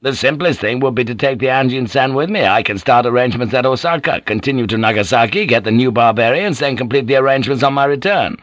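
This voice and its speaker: A man reading aloud, voicing an ambitious, calculating male samurai lord who talks quietly and eagerly. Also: medium pitch, animated, fast, few pauses, no echo, dull audio, pitched above the performer's own voice, lightly acted.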